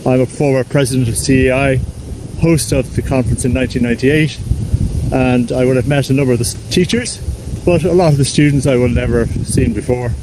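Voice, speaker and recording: A man's voice: medium pitch, fairly even intonation, a little fast. Man, host, reading a prepared welcome, noisy background. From Ireland. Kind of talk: scripted welcome. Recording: noisy, somewhat distant recording.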